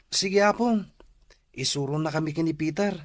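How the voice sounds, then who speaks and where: A man's voice: a little high, normal speed, dry recording, clean studio sound, close to an everyday voice. A man playing an earnest, respectful young boy in an Ilocano radio drama. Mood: eager, curious.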